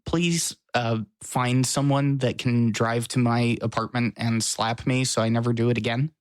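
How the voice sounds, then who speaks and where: A man's voice: medium pitch, steady tone, measured pace, clean tone, no room sound. Joking man, dry close sound. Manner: joking, self-mocking. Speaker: podcast co-host. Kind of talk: casual chat. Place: his apartment.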